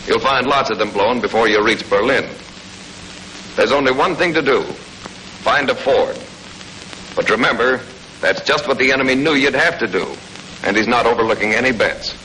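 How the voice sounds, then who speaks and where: A man's voice: medium pitch, moderate pace, long pauses, sharp tone. An American man giving scripted, matter-of-fact instruction with folksy touches, in the style of a 1940s military training film narrator. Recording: noisy old 1940s film soundtrack.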